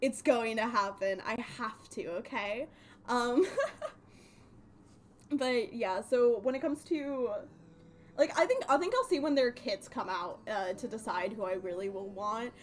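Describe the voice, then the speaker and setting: A woman's voice: on the high side, measured pace, a little hiss or hum. A woman casually chatting as a livestream host, rambling playfully and often breaking off mid-thought. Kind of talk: casual gaming stream chat.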